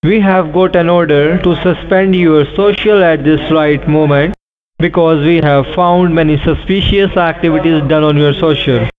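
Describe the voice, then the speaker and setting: A man's voice: on the high side, steady tone, normal speed, crowd noise. A man posing as a government officer over the phone, speaking in formal scripted phrases. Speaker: phone scammer. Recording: tinny phone line.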